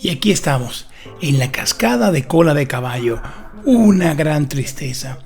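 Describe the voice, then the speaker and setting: A man with a Dominican accent narrates a travel vlog in a creaky, fry-edged voice. His phrasing is dramatic and sentimental, and light music plays behind him. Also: a little high, very animated, moderate pace. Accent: Dominican Spanish. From Dominican Republic.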